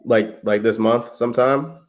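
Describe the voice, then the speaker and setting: A man from Trinidad, hosting a livestream and making a relaxed, friendly sales call to a property owner, full of slang and quick restarts. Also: mid-range voice. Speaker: real estate investor, livestream host. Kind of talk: casual livestream chat and sales phone call.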